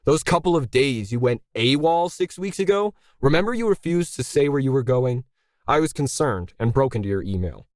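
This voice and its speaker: A man's voice: medium pitch, lively intonation, normal speed, no echo, lightly acted. A man voicing a bitter middle-aged male artist in a story, indignant, pressing and sarcastic. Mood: bitter, aggrieved.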